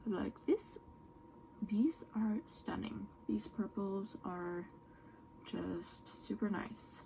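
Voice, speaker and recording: Slow, gravelly-voiced woman. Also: medium pitch, fairly even intonation, slight background noise, rough recording. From Norway. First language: Norwegian. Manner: soft, often trailing into a whisper. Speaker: beauty vlogger. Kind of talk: makeup tutorial chat.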